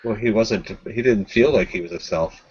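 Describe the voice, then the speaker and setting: A man chatting casually in an animated, loose and halting way. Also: on the low side, breathy edge. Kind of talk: casual chat. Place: indoors on a group video call. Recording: dull lo-fi internet call audio.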